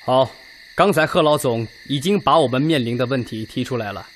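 An actor playing an earnest Red Army political commissar in a radio drama, setting out a situation in a measured, methodical way. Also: male voice, mid-range voice, a little hiss or hum, close to an everyday voice. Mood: grave, earnest.

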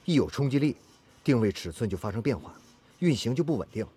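An actor playing an earnest, dedicated factory engineer in a Chinese radio drama. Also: male voice, mid-range voice, big pitch swings, fast talker, mellow, slightly rough. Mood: earnest, determined. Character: earnest, dedicated male factory engineer, devoted to his work.

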